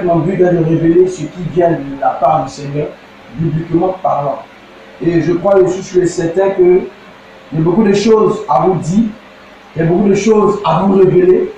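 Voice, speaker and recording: An Ivorian man preaching with a very high, declamatory voice, swinging between shouted bursts and slow, drawn-out emphasis. His voice sounds distant from the mic in a strongly echoing room, with a faint hiss underneath. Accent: Ivorian French. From Côte d'Ivoire. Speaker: pastor. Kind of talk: impassioned preaching address.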